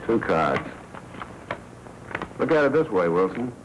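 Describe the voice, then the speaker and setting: A noisy old film soundtrack carries a 1940s American noir mob boss. His voice is low, and he talks in tough slang with a menacing, needling, sardonic edge. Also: big pitch swings, takes it slowly. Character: menacing, sardonic crime boss, jealous husband.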